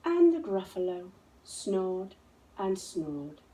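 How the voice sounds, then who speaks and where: A woman reading a children's storybook aloud in a dramatic, deliberate, expressive way. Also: mid-range voice. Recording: roomy echo, distant microphone.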